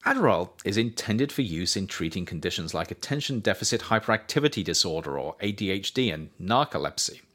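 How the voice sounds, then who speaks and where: A man reading his own nonfiction book aloud as scripted narration, with measured, deliberate phrasing. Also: low-pitched, expressive, fairly bright tone.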